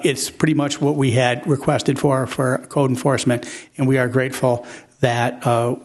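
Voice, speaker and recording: A middle-aged man with a mid-pitched voice answers questions from the public at a council meeting. He is courteous and matter-of-fact, the tone of a city manager. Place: council chamber.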